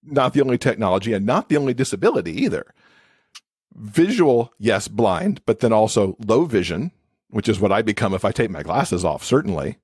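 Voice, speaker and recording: Low-voiced man explaining things conversationally. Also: big pitch swings, a little fast, a little mellow, breathy edge, dry recording, studio quality. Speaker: podcast guest, accessibility expert.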